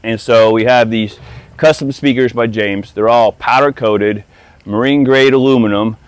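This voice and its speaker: A man's voice: medium pitch, fairly even intonation, normal speed, clear, resonant tone, dry recording. Male installer-presenter, mid-range voice, informal and upbeat, casual walkthrough delivery addressed straight to viewers. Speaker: audio installer presenting his work. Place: outdoors by a pool and patio.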